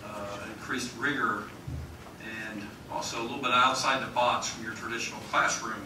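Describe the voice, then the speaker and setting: A man at a school board meeting who introduces a presenter, speaking haltingly with many pauses. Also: medium pitch, fairly even intonation. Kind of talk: formal remarks at a board meeting. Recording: very echoey room, far from the mic, noisy.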